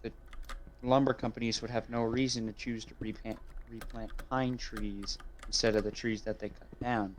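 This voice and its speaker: A young man in casual chat with a friend while gaming, relaxed and matter-of-fact. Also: on the low side, normal speed, slight background noise.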